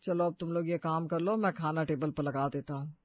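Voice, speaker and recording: A man's voice: on the high side, steady tone, fairly quick, lo-fi sound, close to an everyday voice. A man voicing a kindly, playful older father-in-law in a cartoon moral story. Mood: helpful. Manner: encouraging, playful.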